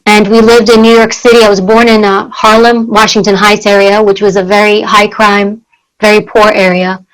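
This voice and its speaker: A woman's voice: mid-range voice, level tone, normal speed, clean tone, poor audio. A woman who grew up in New York speaking Greek at home, talking earnestly as an interview guest and often repeating words while she thinks. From United States. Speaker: guest, former Secret Service agent. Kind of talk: conversational interview.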